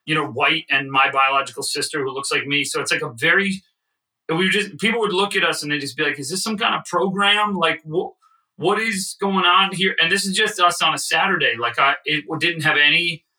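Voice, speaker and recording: Podcast co-host, male, Midwestern, very bright tone, a bit off-mic, animated storyteller. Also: fairly high pitch, distant mic, poor audio. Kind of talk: casual podcast chat.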